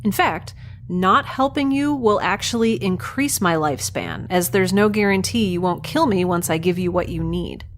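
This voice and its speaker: A woman playing a defiant, quick-witted young researcher who is nauseated and concussed and talks back sardonically. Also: on the low side, a little hiss or hum, natural voice. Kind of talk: audio drama acting.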